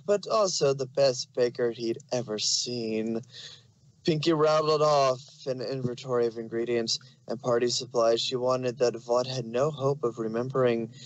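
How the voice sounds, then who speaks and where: A man's voice: medium pitch, lo-fi sound, a little hiss or hum. A man narrating fan fiction in an amateur dramatic reading style.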